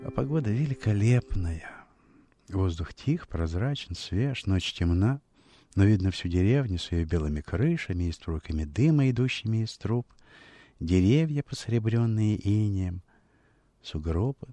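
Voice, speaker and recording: A man narrating a classic short story for radio in a measured, expressive literary manner with dramatic pauses. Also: lowish voice, no room sound.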